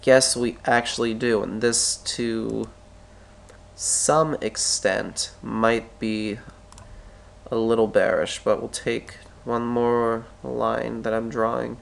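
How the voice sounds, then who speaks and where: Stuffy, gravelly man talking through charts. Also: medium pitch, little pitch movement. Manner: thinking aloud, halting, self-correcting. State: stuffy with a cold, clearing his throat. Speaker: stock market analyst. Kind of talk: screen-recorded chart tutorial.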